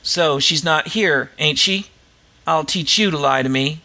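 Male reader doing a menacing detective, curt, gravelly and bright. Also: fairly high pitch, unhurried, heavily acted. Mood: threatening. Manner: curt, snarling. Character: menacing hard-bitten male police detective.

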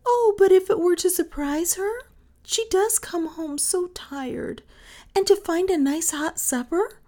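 A woman's voice: very high voice, a little slow, mellow, voice pushed up. A woman voicing an eager, sweet little girl in a storybook, gushing and exclaiming. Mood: eager, pleading.

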